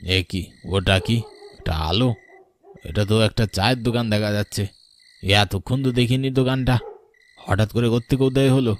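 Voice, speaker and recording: A man's voice: on the low side, normal speed, long pauses, slight background noise, lightly acted. A man playing a stubborn, sceptical police inspector with a dismissive, bossy manner in a horror cartoon. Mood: suspicious.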